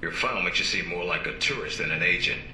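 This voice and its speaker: Low, authoritative spy boss. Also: male voice, slight background noise. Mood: businesslike, needling. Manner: brisk, authoritative, sardonic. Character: stern, gruff male spy agency superior. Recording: roomy, loud music underneath.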